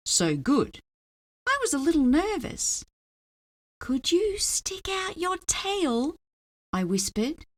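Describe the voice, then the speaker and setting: A woman narrating a children's story aloud in a dramatic, expressive way. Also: medium pitch. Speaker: storybook reader.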